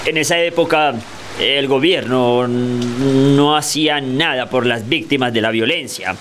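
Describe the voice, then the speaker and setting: An actor playing a bitter, fearful older Colombian husband in a radio drama, halting and complaining. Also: male voice, mid-range voice, a bit bright, slight background noise, lightly acted. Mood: dismissive.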